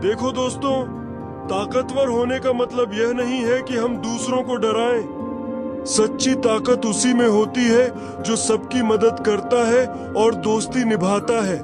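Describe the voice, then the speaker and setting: A man voicing a big, wise, calm elephant in a children's cartoon, with measured delivery. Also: extremely high pitch. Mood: kindly advising. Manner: measured, unhurried. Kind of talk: cartoon moral story acting in Hindi. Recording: loud music behind.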